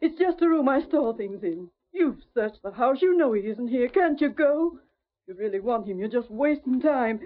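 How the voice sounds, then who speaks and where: On a tinny old radio recording, an actress plays a protective mother of the frontier. Her high voice is anxious and tremulous, pleading in melodramatic fashion. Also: animated, moderate pace, close to an everyday voice. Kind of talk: 1940s radio acting.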